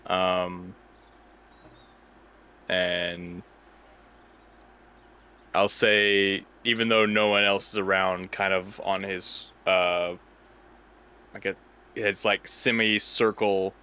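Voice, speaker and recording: A man's voice: low voice, little pitch movement, extremely slow, long pauses, pushed and bright, clean tone. A man playing a tabletop game, describing his character's actions while feeling for words.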